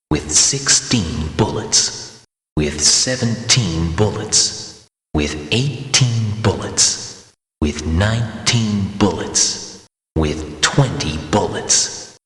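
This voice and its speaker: Game item narrator, really slow n deliberate, short clipped phrases, reverby sound. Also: male voice, low voice, fairly bright tone, full character voice. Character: matter-of-fact male game narrator reading out items. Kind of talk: scripted video game item readouts. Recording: echoey game clips with long reverb.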